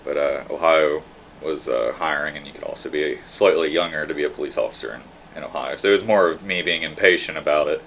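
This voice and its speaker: A man's voice: a bass voice, fast, gravelly, fairly bright tone, low-quality recording. A young man from Kentucky with an American accent, a police officer answering as a guest in a hesitant, matter-of-fact way. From Kentucky, United States. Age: young adult. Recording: noticeable background noise.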